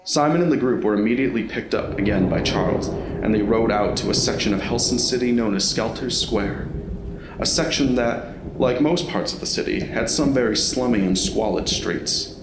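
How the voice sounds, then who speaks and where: A man's voice: mid-range voice, moderate pace, noisy background. A man reading scripted narration for an audio drama. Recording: roomy, echoing voice recording.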